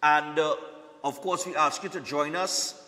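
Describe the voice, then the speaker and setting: A man's voice: on the high side, steady tone, measured pace, pushed and bright, long reverb tail. A man hosting a live morning TV show, chatty and repetitive, often checking in with "all right?".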